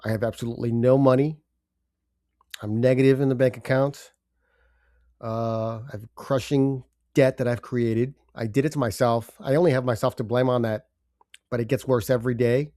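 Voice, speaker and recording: An older man hosting a personal podcast, congested from a cold, speaking in a halting, subdued way with pauses and fillers. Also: mid-range voice, steady tone, warm tone, dry recording, clean studio sound. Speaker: podcast host. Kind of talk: personal confessional monologue.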